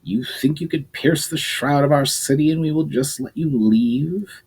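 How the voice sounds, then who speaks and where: A man's voice: fairly high pitch, measured pace, a little mellow, husky, pitched above the performer's own voice. A man voicing a haughty, aggressive male mage elder who speaks sarcastically. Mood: menacing.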